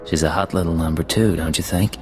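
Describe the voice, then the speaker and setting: An actor playing a cool, guarded undercover agent in a video game, speaking in a terse, understated way. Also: male voice, deep voice, steady tone, a little fast, lightly acted. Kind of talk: dramatic game cutscene acting. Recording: long hall reverb, game music.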